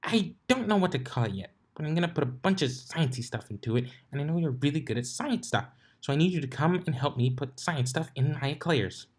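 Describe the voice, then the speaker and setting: A man voicing a hyperactive, bubbly pink female pony who talks in excitable run-on rambles. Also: fairly high pitch, fairly quick, few pauses, voice pushed up. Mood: eager.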